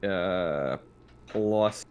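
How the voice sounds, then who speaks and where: A man running a tabletop roleplaying game, improvising narration and rules in a halting, repetitive way. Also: low-pitched, a little mellow, clean tone. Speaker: game master.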